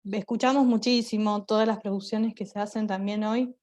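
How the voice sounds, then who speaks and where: A woman's voice: medium pitch, fairly even intonation, moderate pace, a little mellow. A young woman with an Argentine accent talking in a reflective, enthusiastic way in a panel interview, as a drama teacher and performer. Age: young adult.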